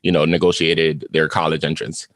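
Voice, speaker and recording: A man's voice: a bass voice, level tone, moderate pace, dry recording, studio quality. A man from New York hosting an interview, chatting in a friendly way, laughing easily and using plenty of fillers. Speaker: podcast host. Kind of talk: casual interview chat.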